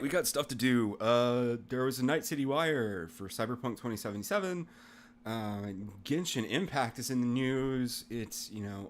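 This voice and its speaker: A man's voice: mid-range voice, a bit bright. A man hosting a casual podcast chat, rambling and self-deprecating as he moves through topics. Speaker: podcast host.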